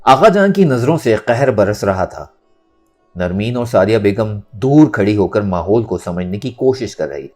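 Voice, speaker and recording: A man narrating a novel in a dramatic, expressive storytelling style. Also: on the low side, a little slow, soft background music. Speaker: audiobook narrator.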